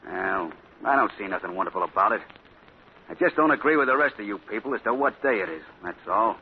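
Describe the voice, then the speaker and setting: A shifty crook who talks tough, slangy street talk. His voice sits low and gruff. The old broadcast sound is dull and faintly hissy. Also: lively intonation, fast talker. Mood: surly. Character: surly shifty male crook posing as a prophet. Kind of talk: 1940s radio acting. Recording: dull scratchy 1940s radio broadcast.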